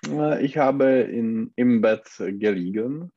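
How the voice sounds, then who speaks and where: Slow, hesitant man with a Czech accent. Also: mid-range voice, dark tone, no echo, highs cut off. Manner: hesitant, searching for words with many fillers. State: has a cold. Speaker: German learner. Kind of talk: halting online language lesson.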